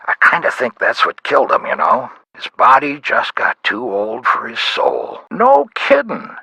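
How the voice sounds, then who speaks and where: An actor playing a folksy, helpful investigator in a video game, with a gravelly, husky voice and casual, colloquial talk. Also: male voice, fairly high pitch, bright, forceful tone, no echo, full character voice, poor audio. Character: folksy, helpful tough-guy investigator making calls. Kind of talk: video game character voice lines.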